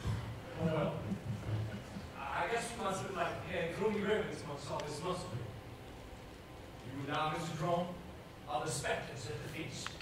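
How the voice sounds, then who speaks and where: Man acting a polite young gentleman, distant and echoey. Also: a little high, lightly acted. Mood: awkward, curious. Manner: halting, formal, hesitant speech. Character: polite, uneasy young English male solicitor visiting a town. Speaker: stage actor. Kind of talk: stage play acting. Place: indoor stage, recorded from afar. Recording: echoey stage hall, distant mic, noisy.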